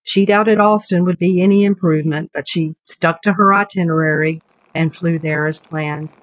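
Low-voiced woman reading a story, slightly dull audio. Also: steady tone, normal speed, dry recording. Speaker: writer reading own short story. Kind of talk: scripted literary narration. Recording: dull lo-fi audio, background noise.

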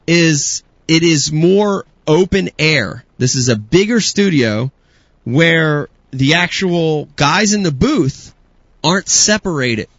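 A man hosting a casual podcast, playful and hyped, with bits of announcer-style shouting. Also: on the high side, expressive, slow pace, dry recording. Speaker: host. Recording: dull dry lo-fi sound.

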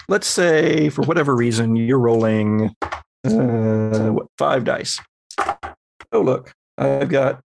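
A man acting as game master, explaining tabletop game rules in a measured, conversational, lightly playful way. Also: mid-range voice, vocal fry.

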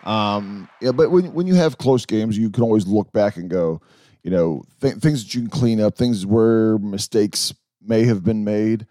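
Hoarse man with a mellow voice. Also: fairly low pitch, no echo. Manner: rambling, halting, with many restarts and fillers. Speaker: podcast host. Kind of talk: casual sports chat.

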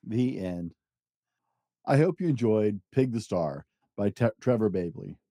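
A man hosting a podcast, gently reading a children's picture book aloud to young listeners. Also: on the low side, a little slow, no room sound, clean studio sound. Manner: animated, gentle storytelling.

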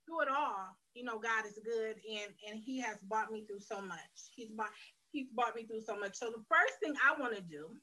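Fields: Sex: woman. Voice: bright, fairly high. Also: normal speed, poor audio. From Detroit, Michigan, USA. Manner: earnest, candid storytelling, often restarting phrases. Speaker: guest sharing her life story. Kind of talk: personal testimony in a faith interview.

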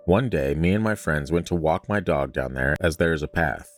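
A man's voice: a bass voice, lively intonation, normal speed. A man hosting a podcast, chatting casually and reading stories aloud in an informal way with joking asides. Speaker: podcast host.

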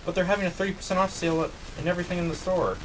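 A man's voice: high voice, steady tone, very fast, natural voice. A man playing an easygoing, conciliatory sidekick in an amateur audio comedy. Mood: friendly. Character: easygoing, bored male sidekick and peacemaker. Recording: distant mic, faint background hiss.

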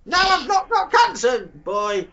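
A man with a high, bright, gravelly voice rambles through crude comic banter, stammering over his words and then bursting into sudden shouts. His pitch leaps all over the place. The recording sounds slightly muffled, with faint noise behind him. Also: big pitch swings, takes it slowly. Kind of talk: crude comedic chat.

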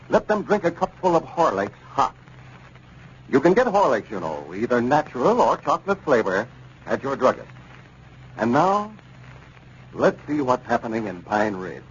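Animated 1930s male radio announcer. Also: on the high side. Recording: dull, noisy 1930s radio recording.

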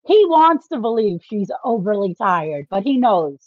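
A woman's voice: medium pitch, very animated, measured pace, a little mellow, no room sound. A woman co-hosting a casual book discussion, explaining things and often checking that she is followed, on slightly dull audio. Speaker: podcast co-host.

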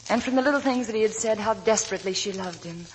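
An actress playing an earnest, shy young working woman, tender and wistful, speaking softly in a 1940s radio drama. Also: mid-range voice, fast, slight background noise, natural voice, poor audio. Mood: wistful, reminiscing. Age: young adult. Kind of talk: 1940s radio drama acting, dialogue and narration. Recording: vintage 1940s radio broadcast, music behind.

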